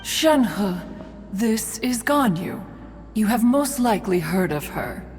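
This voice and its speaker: A lofty, ancient immortal lady from a fantasy game. She speaks slowly and formally, with imperious, old-fashioned phrasing. Her voice carries a long reverb, with music underneath. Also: medium pitch, expressive, a little mellow. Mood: composed, commanding. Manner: formal, archaic, imperious, calls herself "one". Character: proud, haughty ancient female adeptus, a crane-like immortal. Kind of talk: scripted video game voice acting.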